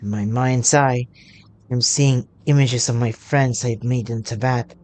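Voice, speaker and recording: A woman's voice: very deep voice, steady tone, a little slow, a little mellow, a little hiss or hum, voice pushed down. A woman voicing an earnest young traveling sword fighter from a video game, in a dramatic, declaiming manner. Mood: awed.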